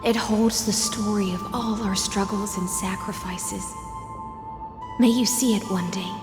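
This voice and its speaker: A solemn siren warrior woman voiced by an actress in a dramatic video game. She speaks slowly and poetically, weary but resolute, as if in ceremony. Her voice carries long echoing reverb over music. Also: medium pitch, fairly even intonation, lightly acted.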